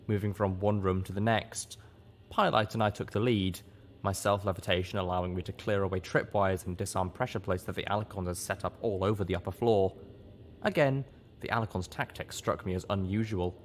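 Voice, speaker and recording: A man reads a long fan novel aloud as an audiobook in a steady, earnest voice. His voice sits a little low for a man. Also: fairly quick, slight background noise. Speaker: audiobook reader. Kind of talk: audiobook narration.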